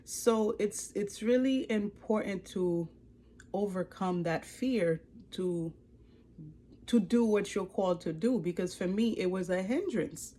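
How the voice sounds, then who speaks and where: A woman in her forties with a Haitian accent, giving an earnest, expressive personal testimony to camera. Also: mid-range voice, unhurried, slight background noise. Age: 40s. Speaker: life coach and ministry leader. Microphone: speaking to a camera.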